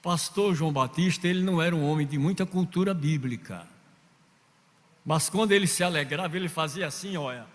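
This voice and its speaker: A man's voice: a little high, brisk. Preaching man in a reverberant hall. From Northeast Brazil. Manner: storytelling, acts out quoted voices, builds to shouting. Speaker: pastor. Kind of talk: preaching sermon with anecdotes. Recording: long reverb of a large hall.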